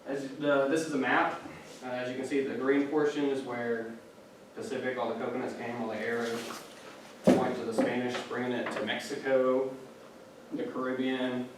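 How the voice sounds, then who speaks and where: A man's voice: medium pitch, flat delivery, normal speed. A young man giving a casual, chatty classroom presentation, full of fillers and asides. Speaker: student giving a class speech. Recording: echoey room, distant mic, background noise.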